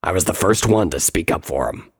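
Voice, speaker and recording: Gruff stallion captain put on by a narrator, real deep growl, husky, stern and menacing, dry sound. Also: animated, a little fast, dry recording, exaggerated voice. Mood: grim resolve. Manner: grave, commanding. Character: stern, menacing male warrior pony captain.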